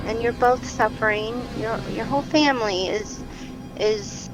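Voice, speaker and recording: Actress as a gentle, reassuring female counselor. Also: fairly high pitch, unhurried, over music, lightly acted, rough recording. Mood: consoling. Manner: soothing, encouraging, conversational. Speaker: therapist or medical counselor. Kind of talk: naturalistic fiction podcast acting.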